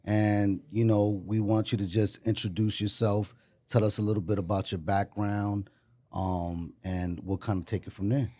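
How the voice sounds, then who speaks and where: A man's voice: fairly low pitch, flat delivery, warm tone. A man hosting a podcast, introducing his guest in a loose, rambling way. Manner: loose, rambling, fills pauses with "you know" and "um". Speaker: podcast host. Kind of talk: casual chat.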